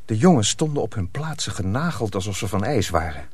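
Low-voiced male storyteller, close and dry. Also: very animated, a little fast, darkish tone, slightly rough, no echo. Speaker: narrator. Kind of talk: dramatic scripted storytelling.

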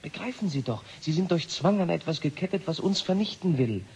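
An actor playing a defiant, idealistic physicist in a 1970s radio drama, intense and argumentative. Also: male voice, on the high side, lively intonation, brisk, creaky, darkish tone. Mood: urgent, confrontational. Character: defiant male physicist, urgent, pleading, idealistic. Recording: noisy old radio drama recording.

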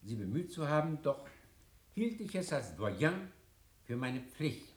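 An actor in a radio play voicing a pompous senior diplomat who delivers solemn, florid formal speeches. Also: male voice, a little high, sing-song, unhurried. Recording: echoey room.

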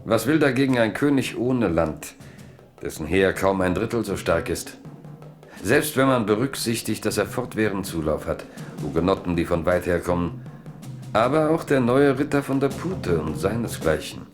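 Low-voiced male radio play narrator. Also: lively intonation, normal speed, soft background music.

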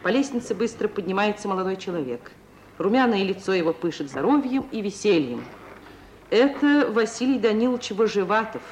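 A narrator reading scripted stage scenes for a radio drama in a measured, literary storytelling manner. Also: medium pitch, a little mellow. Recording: old radio recording with faint hiss.

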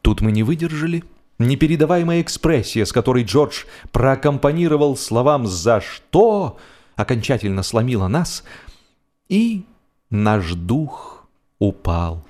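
A man narrating a humorous novel expressively, with a theatrical, deliberate delivery that draws out phrases for drama. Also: medium pitch, lively intonation, a little mellow. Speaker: audiobook narrator.

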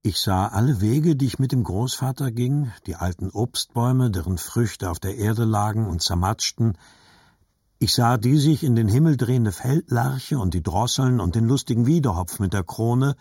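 An actor playing a reflective adult narrator remembering his boyhood, reading literary narration in a measured, husky voice. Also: mid-range voice, a little mellow, no room sound, clean studio sound, natural voice. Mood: wistful.

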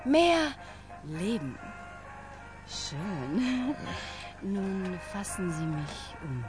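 A woman's voice: mid-range voice, very animated, very slow, over music. An actress playing a flirtatious, middle-aged widowed mother in a radio drama, effusive and theatrical. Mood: flirtatious, tipsy.

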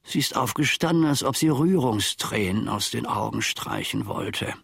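Long-suffering old manservant, creaky voice, dry close sound, wry, confiding, radio drama. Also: male voice, mid-range voice, animated, moderate pace, a bit bright, dry recording, heavily acted. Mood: sly, narrating.

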